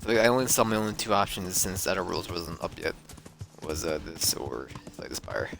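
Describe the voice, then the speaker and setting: A man's voice: deep voice, lively intonation, fairly quick, creaky, music in the background. A man streaming a video game with a stuffy nose from a cold, rambling and thinking aloud. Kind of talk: casual gameplay commentary.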